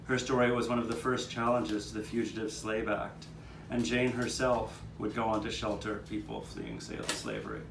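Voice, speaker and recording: A low-voiced man narrates history as an eyewitness in a live puppet show. He is heard from some way off, with roomy echo and clear background noise. The delivery is that of a storyteller addressing onlookers. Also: expressive, moderate pace. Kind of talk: first-person storytelling of local history, performed. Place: outdoor courtyard performance. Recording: roomy, distant, noisy live courtyard recording.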